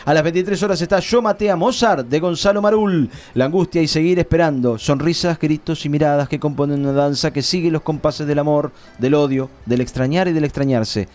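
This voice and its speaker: Casting: Argentine man, higher-than-average pitch, radio co-host who reads programme listings in an informal, joking way. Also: brisk, few pauses, a little mellow. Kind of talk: reading event listings with casual banter.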